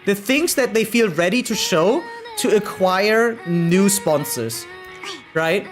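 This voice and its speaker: A woman's voice: mid-range voice, expressive, takes it slowly, faint music behind. A woman doing casual livestream commentary as a game streamer, emphatic and opinionated, speaking informally with slang.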